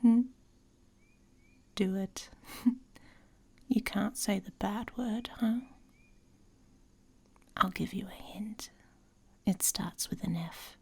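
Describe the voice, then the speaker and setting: Woman playing a husky, whispery, taunting she-wolf. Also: mid-range voice, takes it slowly, long pauses, heavily acted. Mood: teasing, seductive. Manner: teasing, sardonic, often dropping into whispers. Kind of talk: intimate ASMR roleplay.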